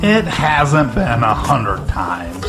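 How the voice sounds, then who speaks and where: A man's voice: medium pitch, big pitch swings, drawn-out words, music in the background, exaggerated voice. An actor playing a plain-spoken, protective father in an audio drama. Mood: easygoing. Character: easygoing but fiercely protective father.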